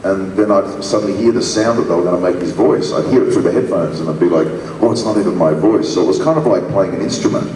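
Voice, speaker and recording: Deep-voiced man telling a story in a hall. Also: fast talker, few pauses, darkish tone, a little hiss or hum. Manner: rambling, laughing storyteller. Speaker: actor on a panel. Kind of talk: convention panel anecdote. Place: large convention hall. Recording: echoey hall from far off.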